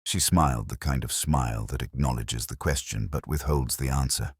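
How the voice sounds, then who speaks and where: A man reading a scripted first-person detective story in a measured, literary, reflective manner. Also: extremely low pitch, husky, no room sound, studio quality. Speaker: narrator.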